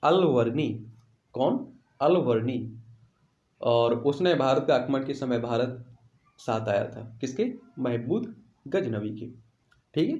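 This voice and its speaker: A man's voice: fairly high pitch, darkish tone. Man teaching in an echoey room. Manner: repeats questions and answers, checks in with listeners. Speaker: exam-prep teacher. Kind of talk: quiz lesson explanation. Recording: roomy, echoing voice recording.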